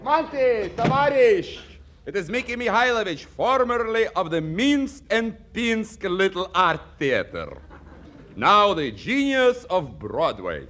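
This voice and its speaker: An actor playing an excitable, boastful Russian impresario with a thick accent and comic broken English, in 1940s radio comedy style. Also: male voice, high voice, slow, a little hiss or hum, exaggerated voice. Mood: grandly boastful.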